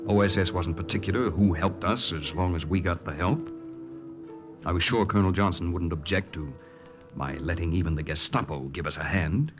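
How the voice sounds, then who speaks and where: An actor playing an earnest young Allied secret agent in a 1940s radio drama, polite and dramatic. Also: male voice, deep voice, moderate pace, close to an everyday voice. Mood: grave, reflective. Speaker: narrator and lead actor. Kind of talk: 1940s radio drama, first-person narration and dialogue. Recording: 1940s radio recording with music.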